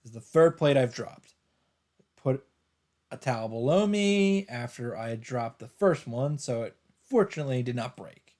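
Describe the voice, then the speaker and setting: A man's voice: medium pitch, expressive, moderate pace, a little mellow. A man hosting a let's play, reading visual novel narration aloud. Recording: game music faintly behind. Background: game music.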